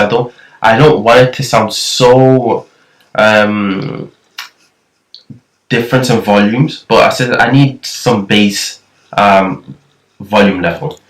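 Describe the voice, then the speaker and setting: A man's voice: lowish voice, slow pace, pauses often, fairly bright tone. Thin-voiced man, echoey and distant. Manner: rambling, with frequent restarts. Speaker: nasheed production tutor. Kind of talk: casual screen-recorded tutorial. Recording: echoey room, far from the mic.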